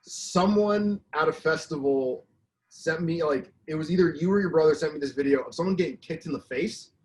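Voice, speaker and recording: A man's voice: fairly high pitch, fairly quick, a little mellow, rough recording. A man chatting casually as a podcast co-host. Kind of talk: casual chat.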